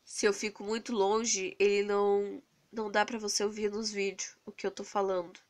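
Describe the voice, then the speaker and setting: A young Brazilian woman with a cold, hoarse and stuffy-nosed, venting earnestly into her phone camera in a rambling casual vlog. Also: mid-range voice, little pitch movement, moderate pace. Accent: Brazilian Portuguese. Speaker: vlogger. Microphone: phone camera held close to the face.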